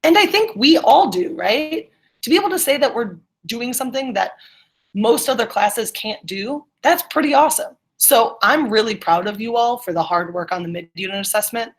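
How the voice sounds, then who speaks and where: A woman with a fairly high voice teaches a school class online. She talks to students directly, encourages them, and keeps checking that they follow with short questions. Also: lively intonation, moderate pace. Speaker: middle school English teacher.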